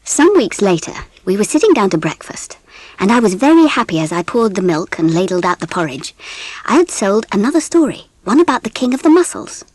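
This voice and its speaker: A woman playing a gentle English mother, narrating a dramatised children's audiobook expressively. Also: medium pitch, brisk, rough recording. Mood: content. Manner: expressive storytelling.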